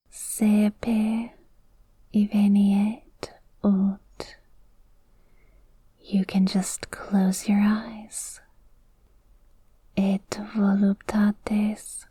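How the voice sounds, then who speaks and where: A woman voicing a cute witch in an ASMR roleplay, softly whispering a hypnotic spell in a soothing, breathy way, close to the mic. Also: mid-range voice, dry recording, heavily acted. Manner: slow, soothing, hypnotic, drawn-out phrasing. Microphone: close microphone, dry.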